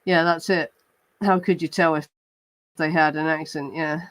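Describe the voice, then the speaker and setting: A man's voice: a little high, fairly even intonation, normal speed, fairly bright tone, no room sound. A man hosting a true crime show, chatting casually with many fillers and restarts, and now and then reading report passages aloud. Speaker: true crime podcast host. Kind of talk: casual chat reacting to live comments.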